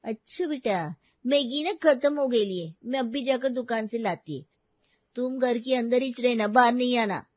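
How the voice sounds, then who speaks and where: A woman plays a young housemaid in a cartoon story, deferential and soothing in a colloquial way. The voice is fairly high, with a dry, dulled sound. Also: unhurried, no room sound, dull audio, lightly acted. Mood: coaxing. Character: young housemaid, deferential, casually reassuring, secretly scheming.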